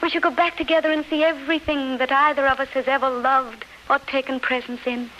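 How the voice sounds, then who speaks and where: A woman's voice: high voice, level tone, a little fast, fairly bright tone, slight background noise, poor audio. An actress playing a devoted, consoling woman in love, earnest and soothing, in 1930s radio drama style. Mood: fervent devotion. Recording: 1930s radio broadcast with music.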